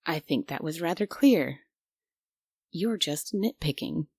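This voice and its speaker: Woman acting a low-voiced, bossy guardian angel. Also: lively intonation, takes it slowly, darkish tone, breathy edge, no echo, studio quality, heavily acted. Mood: stubborn, flustered. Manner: bossy, prim, grudgingly caring. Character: strict, stubborn but secretly kind female guardian angel. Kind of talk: immersive roleplay audio drama, ASMR.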